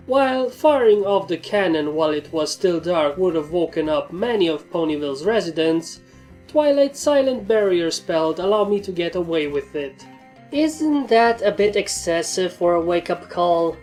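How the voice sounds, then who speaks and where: A woman's voice: lowish voice, a little mellow. Woman narrating a story over music. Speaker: fan fiction reader.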